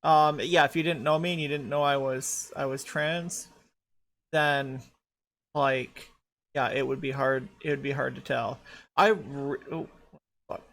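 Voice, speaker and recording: A woman's voice: rumbling low, fairly even intonation, unhurried, creaky, a bit bright. A woman hosting a casual podcast panel chat, rambling and repeating herself as she talks. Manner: rambling, repeats herself while searching for photos. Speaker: podcast host.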